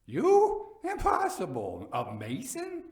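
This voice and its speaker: A proud, tipsy gentleman of gothic storytelling performed by a male reader, his voice pitched up and swooping widely. He is stubborn and full of exclamatory bravado, heard in a roomy echo. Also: wildly swooping pitch, slow pace, far from the mic, exaggerated voice. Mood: incredulous. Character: drunk, proud, stubborn male wine lover, boastful and incredulous.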